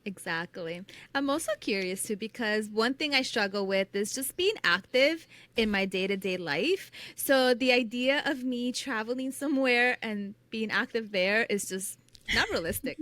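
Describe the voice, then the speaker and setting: A woman's voice: fairly high pitch, lively intonation, normal speed, pushed and bright, no echo. A middle-aged woman co-hosting a travel podcast, talking candidly and laughing at herself. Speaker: podcast co-host. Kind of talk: casual interview chat.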